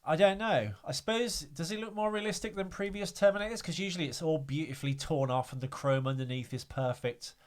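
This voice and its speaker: A man's voice: fairly high pitch, a little fast, few pauses. Hesitant man with a frog in his throat. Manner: hesitant, many fillers and "I don't know". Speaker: podcast host. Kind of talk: casual chat.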